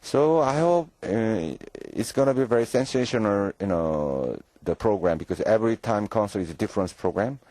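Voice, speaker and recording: Low, mellow Korean-accented man. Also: lively intonation, dry recording. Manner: halting English with many fillers. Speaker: conductor. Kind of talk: radio interview guest.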